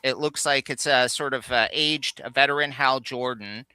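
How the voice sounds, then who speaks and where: A man's voice: medium pitch, normal speed, pushed and bright, smooth, no room sound. A man in casual, explanatory conversation as a co-host on a geek talk stream. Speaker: guest co-host on a geek countdown livestream. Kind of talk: casual chat.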